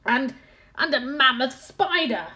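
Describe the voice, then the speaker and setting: A flustered old sea captain stammers out his alarm in loud, excited bursts, as a woman reads him. The voice swoops up and down with lively pitch. It is a storybook figure, more bluster than menace. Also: slow, full character voice. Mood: frantic.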